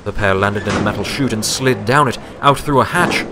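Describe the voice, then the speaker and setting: A man's voice: on the low side, brisk, very noisy. A man reading scripted story narration as an audiobook narrator.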